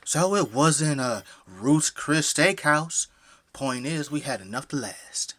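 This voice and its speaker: Man playing folksy, animated Southern American dad. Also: fairly high pitch, takes it slowly, heavily acted. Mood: reflective, good-humored. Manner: effusive, folksy, hospitable. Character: friendly, folksy middle-aged family man and father. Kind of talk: audio drama acting.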